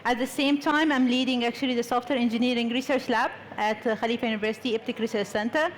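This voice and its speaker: A woman introduces herself on a conference panel, hesitant and full of fillers. Her voice is fairly high, with faint room noise behind. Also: steady tone, quick pace. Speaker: panelist, startup founder.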